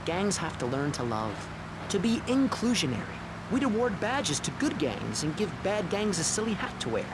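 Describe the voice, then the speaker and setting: A man's voice: sits high, very animated, moderate pace. An actor playing a naive, earnest, privileged young male activist, preaching in a satirical radio debate. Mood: earnest, preachy. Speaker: activist guest on a panel show. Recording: loud car and street noise over it. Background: vehicle engine and city traffic.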